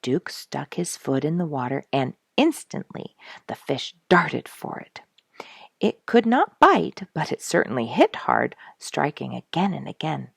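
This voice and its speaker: A woman reading a scripted storybook narration aloud in an expressive, deliberate storytelling manner. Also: deep voice, creaky, slightly rough, no echo, clean studio sound.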